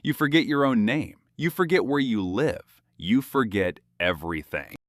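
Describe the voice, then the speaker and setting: A man's voice: medium pitch, moderate pace, no room sound. British guy cohosting, bouncy up and down voice, dry jokes, dry studio sound. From London. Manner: dry, playful storytelling with lively jokes. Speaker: podcast co-host. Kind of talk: scripted English-learning podcast chat.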